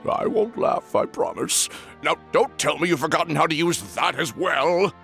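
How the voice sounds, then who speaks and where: A hot-tempered little paper frog from a game, teasing his friend in a playful voice. His tone is gravelly and swings widely in pitch. Music plays softly underneath. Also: big pitch swings, normal speed, heavily acted.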